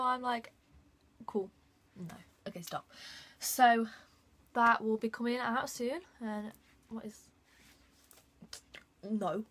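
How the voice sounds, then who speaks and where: Slow, rambling young woman vlogger. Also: fairly high pitch, bright, forceful tone. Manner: rambling, giggly, many fillers. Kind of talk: casual vlog chat. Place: bedroom, indoors. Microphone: phone camera at arm's length.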